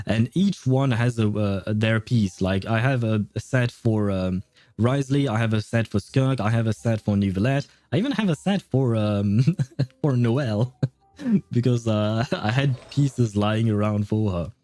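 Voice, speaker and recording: A man's voice: lowish voice, a little slow, warm tone, faint music behind. A man livestreaming a game, chatting casually and thinking aloud in a hesitant, chuckly way. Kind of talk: casual livestream chat and commentary.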